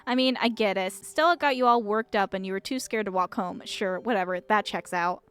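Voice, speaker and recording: A woman's voice: medium pitch, brisk, a bit bright, clean tone, faint music behind, lightly acted. A woman voicing a stern, stressed young woman in a visual novel, scolding and humorless. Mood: exasperated, stern. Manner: stern, exasperated.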